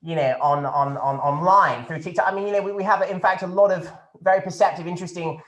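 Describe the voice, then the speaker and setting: A man's voice: high voice, fairly even intonation, fast talker, fairly bright tone, reverberant. A man playing a pompous, flippant posh government minister who rambles and stumbles over a video call. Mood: smug. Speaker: politician taking questions. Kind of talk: satirical press conference sketch.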